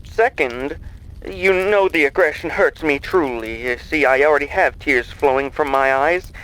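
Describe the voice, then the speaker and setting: A man's voice: fairly high pitch, moderate pace, a bit bright, natural voice, low-quality recording. A man playing a gleeful, sinister mastermind in an audio drama, teasing and falsely soothing. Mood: gleeful, taunting. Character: gleeful, mocking, sinister male mastermind on the phone.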